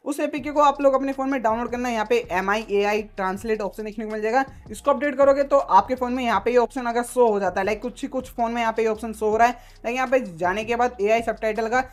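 A man's voice: very high voice, steady tone, quick pace, few pauses. A man giving a casual phone tutorial as a tech YouTuber, mixing in English terms and leaning on repeated filler phrases.

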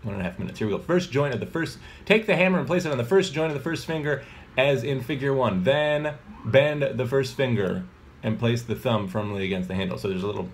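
A man's voice: medium pitch, brisk, a little hiss or hum. A man in his thirties giving a casual music lesson at home, rambling with many fillers and self-corrections, recorded on a phone held at arm's length in a kitchen. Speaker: mallet percussion teacher. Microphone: phone camera at arm's length.

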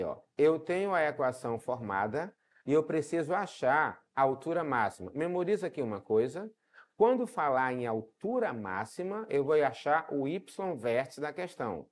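A man with a Brazilian accent teaching a math video lesson step by step, often posing questions to check understanding. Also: fairly high pitch. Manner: didactic, asks rhetorical questions and checks in.

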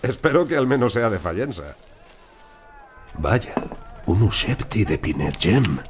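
An actor playing a genial, courteous gentleman archaeologist in a Spanish audio drama, with a hearty manner. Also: fairly low pitch, big pitch swings, takes it slowly, noisy background. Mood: curious. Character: genial, gossipy gentleman archaeologist, office-bound colleague.

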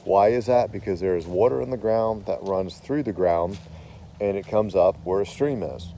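A man's voice: sits low, moderate pace, mellow, a little hiss or hum. A man, a landscaping contractor, giving a plainspoken, folksy walk-through explanation outdoors in a wooded backyard, filming himself on a phone. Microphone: phone camera held by speaker.

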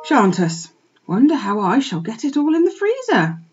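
A cheerful, practical farmer's wife, put on by a woman storyteller, rattling on. Her voice jumps high and lively, and the recording sounds a bit dull. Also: moderate pace, breathy edge, exaggerated voice. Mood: chatty. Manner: rustic dialect, cheerful chatter. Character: chatty old farmer's wife, practical and blunt.

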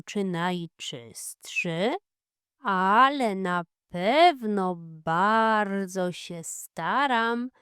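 A woman voicing a modest, tidy, good-natured male badger neighbour in a children's audio fairy tale, unhurried and plain-spoken. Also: mid-range voice, a bit bright, clear, resonant tone, dry recording, studio quality. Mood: earnest.

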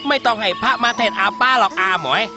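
Cartoon dad: blunt, sly shopkeeper, Chinese-accented Thai, highish voice, muffled track with loud music. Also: male voice, animated, fast. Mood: pleased, admonishing. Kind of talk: children's cartoon voice acting.

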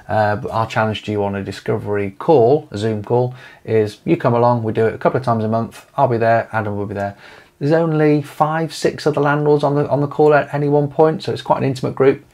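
A man's voice: mid-range voice, brisk, darkish tone. A man, talking casually to camera from a home office, rambling with many fillers and restarts while leafing through papers. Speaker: property investment company owner. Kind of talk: casual to-camera video talk. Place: home office with desk and monitors.

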